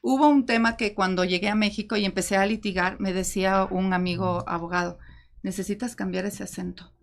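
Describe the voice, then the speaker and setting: Ecuadorian woman, mexican accent now, lawyer guest on a panel, expressive, laughs a lot. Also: medium pitch, brisk, gravelly. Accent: Mexican Spanish with Ecuadorian traces. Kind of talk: panel talk.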